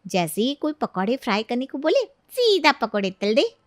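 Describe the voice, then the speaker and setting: A woman's voice: on the high side, very animated, normal speed, dry recording, studio quality. A woman voicing a bossy young female friend in a storybook, handing out cheeky, matter-of-fact advice. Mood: cheeky, advising. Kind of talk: acted Hindi audio storybook dialogue.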